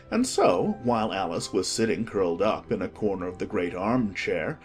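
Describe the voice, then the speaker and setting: Animated male storybook narrator. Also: medium pitch. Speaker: audiobook narrator.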